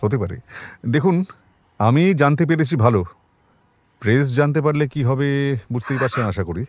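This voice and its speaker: A man playing a composed police detective in an audio drama, questioning politely but pointedly in a measured manner. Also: mid-range voice, animated, background noise, lightly acted. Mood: calm, firm.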